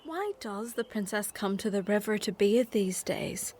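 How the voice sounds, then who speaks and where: An actress in a scripted audio drama playing a princess's handmaid who longs for comfort and luxury. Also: female voice, mid-range voice, animated, a little slow, a little mellow, slight background noise, natural voice. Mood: wistful grumbling.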